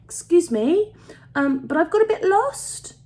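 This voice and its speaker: Woman putting on a high, tentative little girl. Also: very animated, unhurried, darkish tone, full character voice. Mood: tentative, anxious. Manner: tentative, hesitant. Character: shy, polite little girl, lost and nervous.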